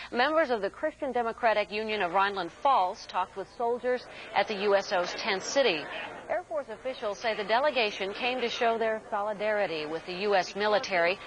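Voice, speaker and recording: A woman's voice: medium pitch, a little hiss or hum, poor audio. American woman reading broadcast news. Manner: formal, measured announcer delivery. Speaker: military network news anchor.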